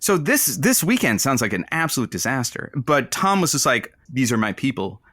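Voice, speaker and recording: A man's voice: medium pitch, big pitch swings, normal speed, bright, forceful tone, dry recording, clean studio sound. A man playing an earnest, supportive radio producer who fills in as host of a comedy call-in show, talking hesitantly and conversationally. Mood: tactful, reasoning.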